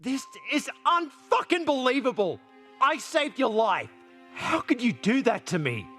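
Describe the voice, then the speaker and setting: An actor playing a roguish, crude, exasperated spaceship captain in a video game. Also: male voice, very high-pitched, sing-song, a little slow, fairly bright tone, faint music behind, full character voice. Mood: indignant. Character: roguish, debauched, indignant male spaceship captain. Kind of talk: video game cutscene acting.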